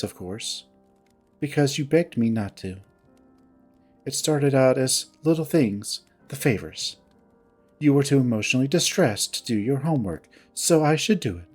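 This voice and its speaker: A stern young animal woman in a story, played by a man speaks with curt, deliberate formality. She is wounded and accusing, holding herself tightly, over a bed of music. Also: medium pitch, dark tone, close to an everyday voice.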